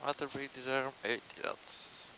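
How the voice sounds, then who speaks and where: A man's voice: medium pitch, flat delivery, unhurried. A man, an airline pilot, giving terse procedural checklist calls in a cockpit. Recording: very noisy cockpit, clicks.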